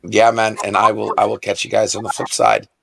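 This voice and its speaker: A hoarse man with a low, gravelly voice, chatting on a stream. He is animated and slangy, and the sound is close and dry. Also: brisk, no echo. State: hoarse, recovering lost voice. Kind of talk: casual stream chat.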